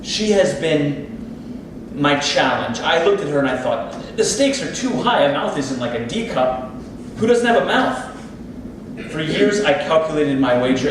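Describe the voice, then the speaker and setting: Male actor as boastful surgeon husband, slightly high voice, theatrical salesman's patter, recorded in a very echoey room. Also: animated, moderate pace, natural voice. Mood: proud, intense. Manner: rhetorical, self-assured, salesman-like. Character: smug, ambitious plastic surgeon husband, boastful about his work. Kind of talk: theatrical monologue at a staged play reading. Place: indoor theatre space, distant microphone. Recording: echoey, very noisy room from far off.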